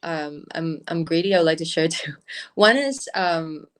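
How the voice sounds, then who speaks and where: A woman with a low voice and a Mandarin accent speaks English as her second language. She talks in halting bursts, restarting and correcting herself often. She is heard through a laptop webcam on a video call. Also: animated. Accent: Mandarin-influenced English. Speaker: brand founder, guest. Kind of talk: casual video-call interview.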